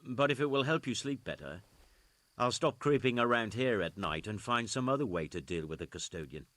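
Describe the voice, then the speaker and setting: Actor as an indignant, animated, evasive nobleman. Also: medium pitch, fairly quick, no room sound, close to an everyday voice. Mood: defensive. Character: evasive, indignant nobleman caught skulking at night. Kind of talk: dramatic video game acting.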